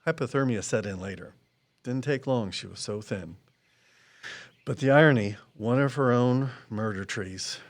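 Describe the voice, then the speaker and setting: A man's voice: mid-range voice, normal speed, close to an everyday voice. Plainspoken, guarded tree expert played by a man. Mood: somber. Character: Walt, guarded, loyal tree expert and colleague. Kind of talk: naturalistic audio drama dialogue.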